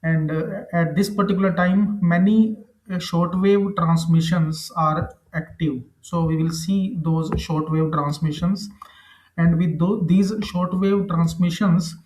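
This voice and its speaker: The voice is recorded in an echoey room. It is a man with an Indian accent and a fairly high pitch, presenting a software tutorial. He explains step by step, halting and repeating himself as he goes. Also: fairly even intonation. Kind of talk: screen-recorded tutorial narration.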